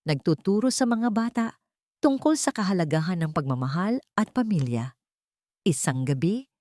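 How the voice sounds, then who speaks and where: A woman's voice: lowish voice, a little mellow, no echo, studio quality. A woman with a Filipino accent reading a scripted romantic drama as an audiobook narrator.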